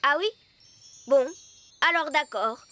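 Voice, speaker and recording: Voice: high voice, takes it slowly. A performer voicing a small, eager elf boy in a children's cartoon, with a plain childlike manner. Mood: earnest. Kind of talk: children's cartoon dub acting.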